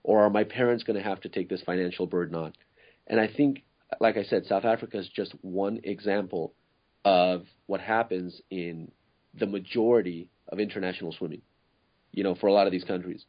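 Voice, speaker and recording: Husky-voiced man from Ecuador, earnest. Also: deep voice, fairly even intonation, brisk, highs cut off. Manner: earnest, searching for words with many fillers. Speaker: co-host. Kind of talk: casual podcast discussion.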